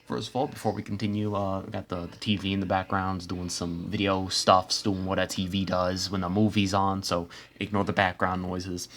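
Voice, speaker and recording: A man hosting a simulation with casual, rambling commentary, stumbling over words and dropping deadpan asides. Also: deep voice, moderate pace. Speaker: host narrating a simulation.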